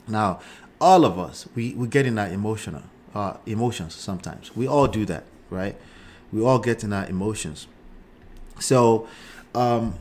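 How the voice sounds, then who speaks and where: A man's voice: fairly low pitch, slight background noise. A man in his forties with a Nigerian accent hosts a live show, giving casual commentary and often checking in with short tags like "right" and "okay". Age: 40s.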